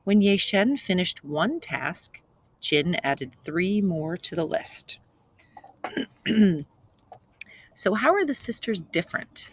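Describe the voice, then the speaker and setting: A woman's voice: on the low side, big pitch swings, takes it slowly, pauses often, slightly rough. A woman reading aloud to young children as a teacher, explaining simply and repeating key points. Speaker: second grade teacher.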